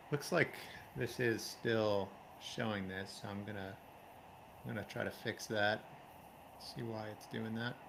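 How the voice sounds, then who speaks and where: A man's voice: on the low side, gravelly, a little hiss or hum. A man hosting a casual livestream, friendly and chatty, laughing often. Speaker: livestream host. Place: home, now living in Spain.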